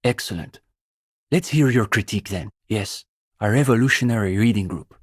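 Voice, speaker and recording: An actor playing a pompous, earnest young communist student in a video game, a bookish zealot who talks in precise, lecturing phrases. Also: male voice, on the low side, normal speed, husky, no room sound, clean studio sound. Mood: guarded, skeptical. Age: young adult. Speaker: student leader of a revolutionary reading group. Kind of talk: video game character dialogue.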